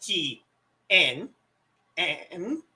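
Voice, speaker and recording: A man with a bright, forward tone and a fairly high voice, talking slowly and unhurriedly. He hosts a relaxed live stream, explaining things and answering viewers. He still has a lingering cough from an illness. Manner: relaxed, chatty, answers chat questions. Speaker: live stream host teaching language tones.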